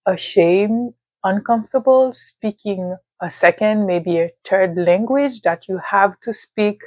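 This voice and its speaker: A young woman with a Haitian accent, a native speaker of Haitian Creole and French, giving a thoughtful advice talk to camera with pauses and fillers. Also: mid-range voice, darkish tone, no echo. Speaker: vlogger.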